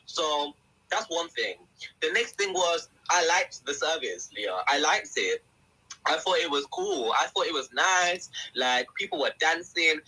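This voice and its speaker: Young man, podcast guest: husky, very bright voice sitting slightly high, animated storyteller. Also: measured pace, low-quality recording. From England. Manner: animated storyteller who acts out quotes. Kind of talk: casual podcast testimony.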